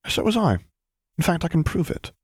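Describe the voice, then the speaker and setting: Man as a prim, measured naval captain. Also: on the high side, lively intonation, slightly rough, dry recording, clean studio sound, pitched above the performer's own voice. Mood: prim, sly. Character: prim, unflappable naval captain with sly wit.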